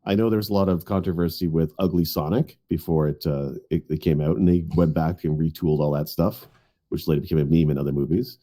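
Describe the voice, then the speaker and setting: A man's voice: extremely low pitch, fairly even intonation, quick pace, warm tone. A man speaking as a podcast panelist in an informal, hedging, conversational style. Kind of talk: casual chat.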